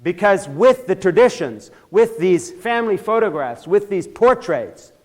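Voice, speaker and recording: An older man from Switzerland lectures in a church with a fairly high voice. He builds from measured remarks to heated, forceful emphasis and often trips over and restarts his phrases. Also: sing-song. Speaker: speaker giving a church talk. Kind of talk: impassioned lecture.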